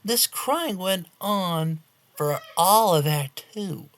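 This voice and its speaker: A woman's voice: fairly low pitch, animated, takes it slowly. A woman reading a scripted horror story aloud as its narrator.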